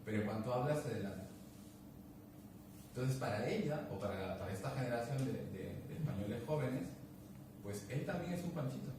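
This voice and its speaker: A man's voice: medium pitch, a little fast, pauses often. Man telling stories in an echoey room. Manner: animated, acts out dialogue, many restarts. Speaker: guest speaker. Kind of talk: casual conversational storytelling. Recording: echoey room, distant mic, background noise.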